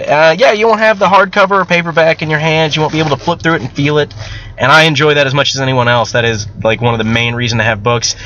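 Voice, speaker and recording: A man's voice: a little high, sharp tone, dull audio. A man talking casually and unscripted to viewers as an amateur writer, rambling, candid and self-deprecating, with casual swearing. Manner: casually profane. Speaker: amateur novelist and video host.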